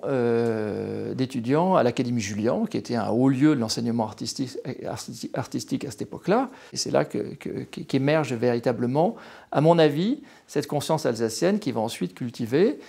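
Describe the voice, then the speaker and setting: A man's voice: medium pitch, creaky, warm tone. Hesitant French man in his sixties. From France. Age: 60s. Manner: hesitant, many fillers and restarts. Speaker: retired history professor. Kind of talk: interview, personal account.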